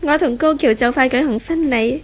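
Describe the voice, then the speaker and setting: A woman's voice: high-pitched, a little fast, lightly acted. An actress playing a polite, earnest young woman engaged to be married, in a 1970s Cantonese radio drama with a Hong Kong accent. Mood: hopeful. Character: polite, earnest young bride-to-be, university graduate daughter. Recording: 1970s radio recording, faint noise.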